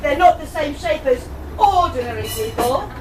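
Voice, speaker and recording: A woman's voice: high voice, lively intonation, moderate pace. A woman playing a haughty, nagging stepmother in an amateur pantomime, imperious and scolding. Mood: haughty, defensive. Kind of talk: amateur pantomime stage acting. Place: live amateur show at a tavern venue. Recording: echoey room from far off, noisy crowd.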